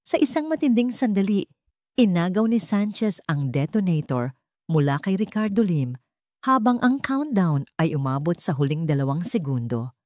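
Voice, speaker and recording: A woman narrates a scripted dramatic story. Her voice sits a little low for a woman. The sound is very dry, with hardly any room around it. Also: mellow, dry recording.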